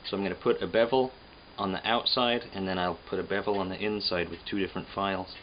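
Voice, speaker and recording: A man casually explaining guitar repair work in a halting, unscripted, plain-spoken way, as a craftsman hosting his own demonstration. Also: low voice, steady tone. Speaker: guitar repairer and restorer, host. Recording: dull lo-fi sound with hum and noise.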